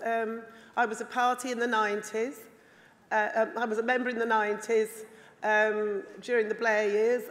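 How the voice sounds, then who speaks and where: A woman with a hoarse, worn-out voice giving an earnest, rambling speech as a delegate at a party conference, with many ums. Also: on the high side, fairly bright tone. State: hoarse, lost voice from shouting. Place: large conference hall. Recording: long hall reverb with crowd murmur.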